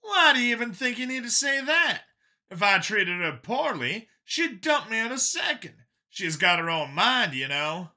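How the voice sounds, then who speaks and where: A man voicing an eager, defensive male soldier type in an audiobook, talking in an excitable, emphatic way. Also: high voice, moderate pace, pitched above the performer's own voice, exaggerated voice. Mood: defensive, earnest. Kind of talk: audiobook character dialogue.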